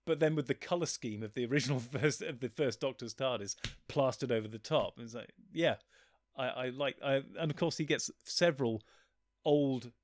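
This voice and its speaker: A man in casual, opinionated chat as a guest, griping in an animated, blunt and sweary way. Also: medium pitch, normal speed, no echo. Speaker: guest, fan-fiction author.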